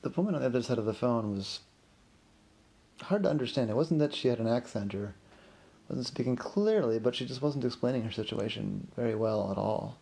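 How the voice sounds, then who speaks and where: A man's voice: medium pitch, brisk, gravelly, warm tone, breathy edge. A man improvising a story in a casual, rambling, conversational way, as the host of a story podcast. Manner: rambling, conversational, acts out characters' remarks in an exasperated way.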